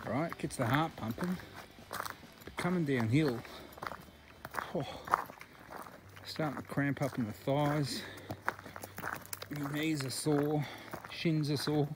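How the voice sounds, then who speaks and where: A man's voice: fairly high pitch, slow, pauses often, noisy background. A man in his thirties with an Australian accent, talking casually to camera while hiking a bush trail at night, out of breath and puffing between phrases. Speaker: hiker vlogger. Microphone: camera held close at arm's length.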